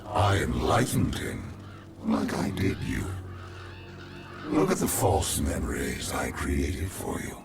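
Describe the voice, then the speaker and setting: A man's voice: sing-song, exaggerated voice. A man playing a menacing, all-powerful godlike villain in a video game, grandiose and obsessive, speaking with theatrical weight. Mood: controlling, menacing. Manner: theatrical, slow and grandiose, swinging from hushed to booming. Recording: roomy echo under loud music.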